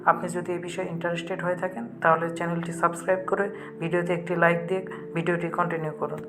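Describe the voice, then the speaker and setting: A man with a Bangladeshi accent narrates a step-by-step app tutorial at a quick clip. His delivery stays flat and instructional, with music clearly playing behind him. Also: on the high side. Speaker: tech tutorial host. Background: background music.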